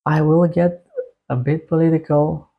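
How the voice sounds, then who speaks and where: A young man from Bulgaria with a Bulgarian accent, talking casually to his viewers in a halting, hesitant way. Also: fairly high pitch, fairly even intonation, phone-quality sound. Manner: halting, hesitant delivery with long phrasing breaks. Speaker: YouTuber introducing a music channel. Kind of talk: casual to-camera monologue.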